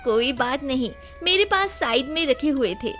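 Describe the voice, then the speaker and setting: Narrator as caring housewife, high female voice, kind, reassuring, animated moral tale, music behind. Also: moderate pace. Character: Manju, generous, caring married neighbour woman.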